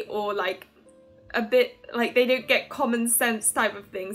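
A woman in her twenties giving a casual, chatty game review to camera in an animated, giggly manner. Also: a little high. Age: 20s. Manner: animated, giggly, hand-gesturing. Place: small furnished room at home, indoors. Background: music under the voice.

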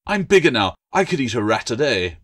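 A man's voice: medium pitch, big pitch swings, fairly quick, a bit bright, voice pushed up. A man voicing a proud, boastful talking gecko for a fantasy storybook, theatrical and easily wounded.

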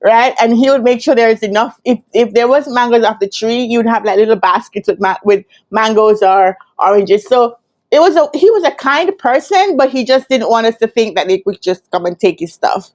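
A woman's voice: on the high side, animated, a little fast, a little mellow. A woman in her thirties with a Jamaican accent, telling a personal story to camera in an emphatic, conversational way. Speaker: career coach telling her own story. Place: indoor room with curtains. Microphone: lapel mic.

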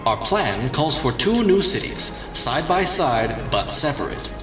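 A formal male planner delivers a resolute address in an audio drama. The lively, oratorical voice echoes over clear music. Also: medium pitch, a little slow. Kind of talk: scripted speech in audio drama. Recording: echoey, distant, music behind.

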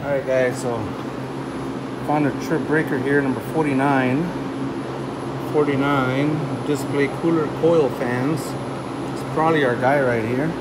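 Man talking over loud cooler fans. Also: medium pitch, fairly even intonation, unhurried, long pauses. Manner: talks to viewers while testing wiring, thinking aloud. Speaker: refrigeration technician. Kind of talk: casual hands-on work vlog. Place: walk-in cooler in a store, indoors. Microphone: phone camera held or propped nearby. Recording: loud machinery and fan noise behind.

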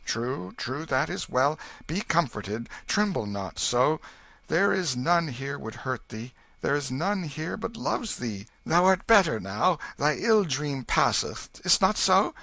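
A man voicing a stern old king who speaks gently and tenderly to his son in old-fashioned English. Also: medium pitch, big pitch swings, slow, no room sound, voice pushed up, heavily acted. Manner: archaic, soothing, kingly speech.

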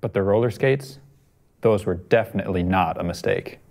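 A man's voice: sits low, normal speed, gravelly, darkish tone. A man in his twenties reading a picture book aloud and chatting casually in a studio. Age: 20s. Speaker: storytime reader. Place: small TV studio at a desk.

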